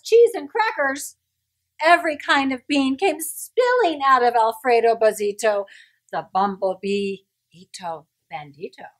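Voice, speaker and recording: A woman reading a children's story aloud in an expressive, dramatic way, putting questions to her young listeners. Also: sits high.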